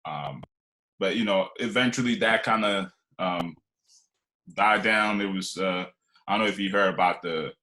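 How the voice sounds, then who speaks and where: A man's voice: low voice, a little slow, bright, forceful tone, poor audio. A man in his twenties, originally from Liberia, telling his life story as a podcast guest in a loose, rambling way full of fillers and restarts. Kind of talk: casual conversational storytelling.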